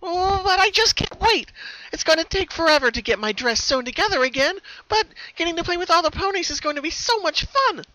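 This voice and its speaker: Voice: extremely high pitch, animated, brisk, pushed and bright, no room sound, voice pushed up, exaggerated voice, low-quality recording. A performer voicing a giddy, bouncy young female cartoon pony with a sinister streak, gushing in singsong cheer. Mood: scheming glee.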